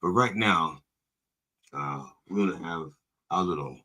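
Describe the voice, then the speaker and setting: A hoarse man hosting a comedy podcast as a mock reverend, rambling, profane and interrupting himself. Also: low voice, a little slow, pushed and bright.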